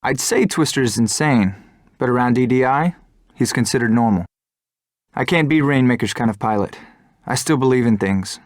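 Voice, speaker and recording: Actor as a brooding young fighter pilot, dry close sound. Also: male voice, medium pitch, moderate pace, no echo, lightly acted. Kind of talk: scripted game cutscene narration and dialogue.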